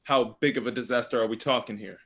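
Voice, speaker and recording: A man's voice: medium pitch, fairly even intonation, quick pace, fairly bright tone, lightly acted. A man playing a scheming, sardonic god of the underworld in a staged play reading, with a mocking, sly manner.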